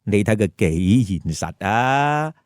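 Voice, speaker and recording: A man's voice: on the low side, expressive, takes it slowly, no echo, studio quality. A man telling a story with comic commentary, colloquial and putting rhetorical questions to listeners. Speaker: storyteller, host.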